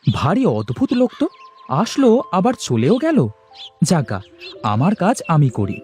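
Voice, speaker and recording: An earnest young villager in a cartoon, acted by a man. His voice is on the high side and very lively in pitch. He exclaims simply, over faint music. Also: a little slow, full character voice. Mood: content. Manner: animated, exclamatory.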